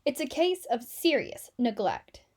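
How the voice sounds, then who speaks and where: Woman as a brisk, no-nonsense medic. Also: a little high, big pitch swings, darkish tone. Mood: urgent diagnosis.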